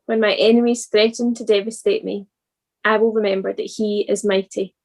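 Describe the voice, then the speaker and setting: A woman reading a scripted church devotional in an earnest, deliberate manner. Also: mid-range voice, level tone, darkish tone.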